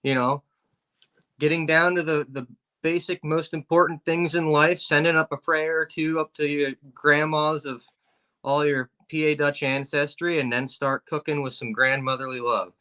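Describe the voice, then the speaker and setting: Pennsylvania man, co-host, deadpan joker, bright tone. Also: a little high, normal speed. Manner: joking, deadpan tall tales. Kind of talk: casual chat.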